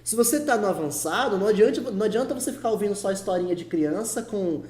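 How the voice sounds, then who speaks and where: A man's voice: high-pitched, expressive, quick pace, a little mellow. A man with a Brazilian Portuguese accent giving a casual video lesson as a teacher, chatty and coaching, repeating his points for emphasis. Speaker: English teacher. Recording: echoey room.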